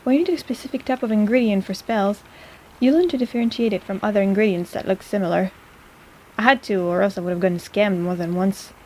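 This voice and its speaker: A woman's voice: mid-range voice, fast talker, a little mellow, close to an everyday voice, rough recording. A woman playing a sarcastic but caring apothecary in an intimate personal-attention audio roleplay, with a playful, chiding manner. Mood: sardonic, teasing.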